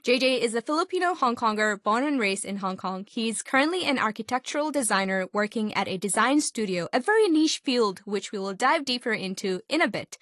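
A woman's voice: a little high, lively intonation, brisk, hardly any pauses, fairly bright tone, dry recording, studio quality. A young woman from Pakistan hosting a podcast interview in an upbeat, encouraging way. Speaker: host.

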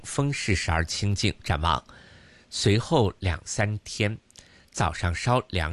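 A man reading a scripted weather report as a radio newsreader. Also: lowish voice. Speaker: radio news reader.

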